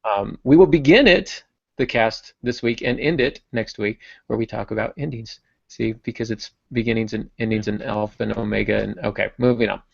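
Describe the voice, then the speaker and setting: Gravelly guy hosting a chill podcast, rambly and jokey, dry room, dull lo-fi sound. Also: lowish voice, normal speed, no echo. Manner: friendly, rambling with restarts and joking asides. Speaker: podcast host. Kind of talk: casual podcast chat.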